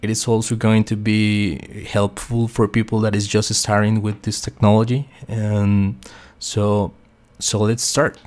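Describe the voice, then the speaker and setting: A young man from Costa Rica with a Spanish accent, a QA engineer talking through a software tutorial with many fillers and restarts. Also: fairly low pitch, little pitch movement, measured pace, hardly any pauses. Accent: Costa Rican Spanish. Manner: hesitant, many fillers and restarts, quietly talks to himself. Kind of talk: screen-share tutorial explanation.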